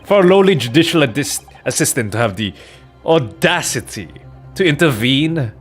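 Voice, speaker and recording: Haughty male prosecutor for a courtroom game, acted by a man: sneering, pompous, theatrical, very animated intonation. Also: mid-range voice, breathy edge, faint music behind. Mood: indignant scorn. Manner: pompous, drawn-out, disdainful delivery.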